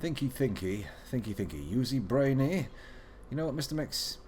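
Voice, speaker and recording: Playful man, lively voice. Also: mid-range voice, normal speed, a little hiss or hum. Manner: playful, joking, muttering asides. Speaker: streamer playing a video game. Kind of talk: live game commentary.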